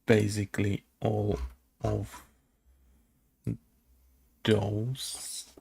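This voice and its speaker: Gravelly man thinking aloud while coding. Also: lowish voice, little pitch movement, darkish tone. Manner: muttering to himself, halting, unscripted. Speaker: game developer making a tutorial video. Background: keyboard typing and clicking.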